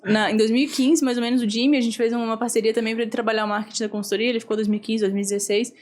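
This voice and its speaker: Blunt, expressive Brazilian woman hosting a podcast. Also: fairly high pitch, racing. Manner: blunt and expressive, swears freely, acts out quotes. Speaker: podcast host. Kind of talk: casual podcast chat.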